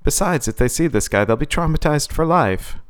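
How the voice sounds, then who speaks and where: A man's voice: a little high, animated, a little fast, pitched above the performer's own voice, lightly acted. A man doing a defiant, determined wife. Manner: sharp, insistent.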